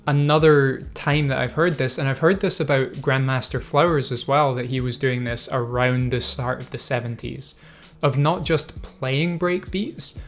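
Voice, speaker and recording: A man hosting his own video, talking through viewer comments in a thoughtful, hesitant way with many fillers and restarts. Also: medium pitch. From Scotland. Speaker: video host. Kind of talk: casual reflective commentary.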